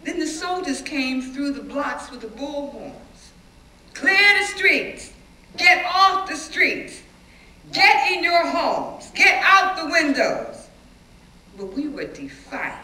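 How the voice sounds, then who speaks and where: A woman performing a dramatic stage reading as a defiant, plain-spoken schoolteacher recalling riots, with animated, emphatic storytelling and dramatic pauses. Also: sits high, recorded from a distance, full character voice. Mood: loud, defiant. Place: echoey indoor room, live reading before an audience. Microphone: distant microphone. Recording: roomy, noisy hall recording from afar.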